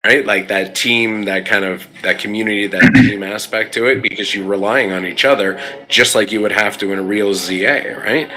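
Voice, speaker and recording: A man's voice: lowish voice, steady tone, moderate pace, hardly any pauses, pushed and bright, low-quality recording. A man chatting casually and enthusiastically about tabletop gaming in an informal way. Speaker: podcast panelist and game player.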